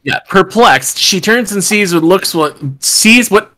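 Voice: medium pitch, expressive, a little slow, crowd noise. A person on a video call reading stage directions aloud, with exasperated, sweary joking asides. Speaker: table read participant reading stage directions. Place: home room, remote video call.

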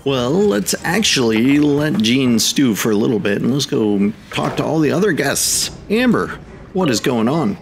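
Playful guy doing a lets play, sing-song bits, bouncy pitch, faint game music. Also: big pitch swings, a little slow, gravelly. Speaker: gaming host. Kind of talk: casual let's play commentary.

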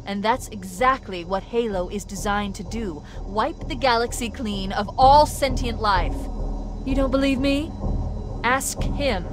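A sharp-tongued female artificial intelligence from a video game, acted by a woman. The voice is notably high, with biting, assertive sarcasm, over faint music. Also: unhurried, lightly acted. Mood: grave, biting. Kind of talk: scripted video game acting.

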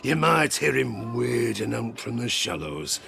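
Gravelly, theatrical old sailor. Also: male voice, mid-range voice, very animated, measured pace, a bit bright, full character voice. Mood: haunted, hushed. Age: older. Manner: salty sailor's dialect, chuckling, theatrical asides. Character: grizzled old harpooner spinning a tavern sea tale, boastful, haunted. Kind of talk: dramatic audio drama storytelling.

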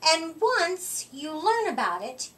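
A middle-aged woman reading a rhyming picture book aloud to children, with deliberate, expressive emphasis, recorded a short way from the mic. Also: very high-pitched, a little hiss or hum. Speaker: children's storytime reader. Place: indoor room, seated before a curtain. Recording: voice distant from the microphone.

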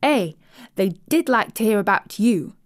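A woman's voice: medium pitch, measured pace, close to an everyday voice. A woman voicing a cheerful, chatty Yorkshire servant girl in broad dialect, for an audiobook. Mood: eager. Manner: broad dialect, lively and excited.

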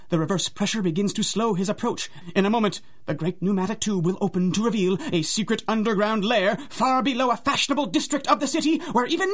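A man narrating a 1930s-style radio adventure serial in a theatrical, suspenseful manner. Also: high-pitched.

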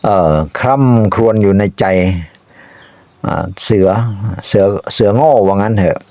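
A man telling an animal folk tale informally, in halting, repetitive phrases with spoken sound effects. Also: lowish voice, darkish tone, smooth, dry recording. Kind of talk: informal, improvised animal storytelling.

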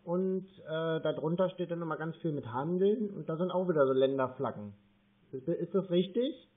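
A man chatting casually and hesitantly, rambling and slow to get to the point. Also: fairly high pitch. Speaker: scam-baiting YouTuber playing a naive customer on the phone. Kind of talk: casual phone chat. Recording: narrow, tinny phone-like sound.

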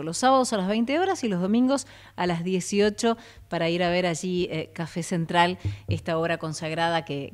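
A woman's voice: fairly low pitch, a little mellow. A woman in her thirties from Argentina with a Rioplatense accent, hosting a live radio interview in a small studio, friendly, with many short affirmations. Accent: Rioplatense Spanish. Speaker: radio host. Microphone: studio mic on a boom arm.

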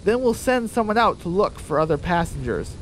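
A man's voice: sits high, sing-song, fairly quick, slight background noise. A man voicing a sensible, commanding female cartoon chicken, the practical leader in a comic radio drama. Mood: urgent, scolding.

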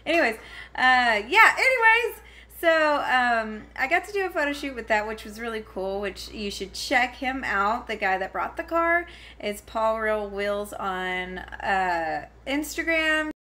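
Bright-voiced woman rambling about a con. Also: on the high side, sing-song, unhurried. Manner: rambling, many fillers and restarts, giggly asides. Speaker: vlogger reviewing a convention. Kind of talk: casual talking-to-camera chat. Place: home, her daughter heard elsewhere in the house.

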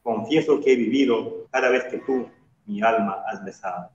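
A man's voice: mid-range voice, moderate pace. A young man reading a poem as a guest poet, on an echoey, dull video call from a bare room. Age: young adult. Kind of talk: poetry reading.

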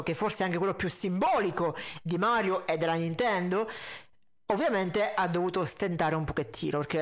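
Male reaction host, twenties, high-pitched, animated and mocking, close-miked. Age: 20s. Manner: sarcastic, animated. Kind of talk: casual mocking video commentary. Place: indoor room at a desk. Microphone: close desk condenser mic with pop filter.

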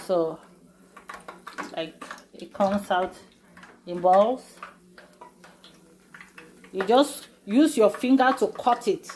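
A woman's voice: medium pitch, animated, very slow, pauses often, a little mellow, slight background noise. Middle-aged woman, cooking vlog host, talks casually through steps in her kitchen on a phone camera. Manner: chatty, explains step by step with many fillers. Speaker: cooking vlogger. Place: home kitchen.

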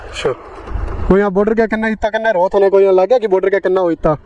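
A man giving a relaxed, casual running commentary while riding a motorcycle on rural roads. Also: high-pitched, racing. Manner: chatty, relaxed, friendly with locals. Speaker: motorcycle travel vlogger. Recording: muffled mic under loud road and wind noise.

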